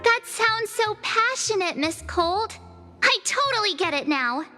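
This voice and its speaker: A woman playing a cute, eager teenage girl adventurer in an anime game, perky and expressive. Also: extremely high pitch, unhurried, heavily acted. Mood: impressed. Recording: music behind the voice.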